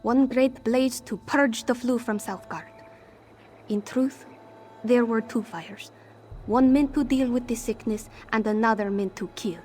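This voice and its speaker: A woman's voice: fairly high pitch, unhurried, a little mellow, faint music behind, natural voice. A woman voicing an anxious, street-hardened female cat-folk thief in a fantasy game, defensive and speaking in formal, contraction-free phrasing. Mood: grim. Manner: refers to herself in third person, formal contraction-free phrasing. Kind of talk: fantasy game character dialogue.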